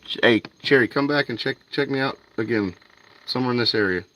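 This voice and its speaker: A man's voice: medium pitch, a little fast, sharp tone, low-quality recording. A man hosting a fishing livestream at night. He chats loosely with his viewers, says "dude" and "man" a lot, and greets them by name. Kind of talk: casual livestream chat with viewers. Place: outdoors by a canal at night.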